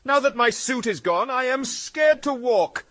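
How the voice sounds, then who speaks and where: A man's voice: very high voice, expressive, measured pace, a bit bright, dry recording, pitched above the performer's own voice, full character voice. A man voicing a self-pitying cyborg who whines and pleads for help. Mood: pleading. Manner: pleading, whining. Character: whiny self-pitying man in cybernetic limbs.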